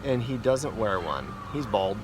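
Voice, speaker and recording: A man reading the part of a brisk, matter-of-fact woman nanny from a children's storybook. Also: fairly low pitch, big pitch swings. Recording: outdoor noise, vehicle and ambient hum.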